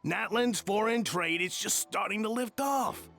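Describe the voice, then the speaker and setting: Gravelly, cocky smuggler boss. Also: male voice, high-pitched, measured pace, a bit bright, soft background music, exaggerated voice. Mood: smug, patronizing. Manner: patronizing, self-assured. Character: gruff, cocky smuggler boss, adult man. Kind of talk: video game character acting.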